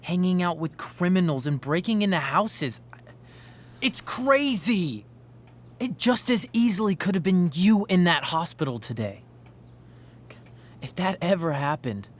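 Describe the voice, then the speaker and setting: A man's voice: high-pitched, animated, measured pace, pauses often. A man playing an anxious, accusing teenage boy in a dramatic video game, pressing his points with pleading emphasis. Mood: accusing, insistent.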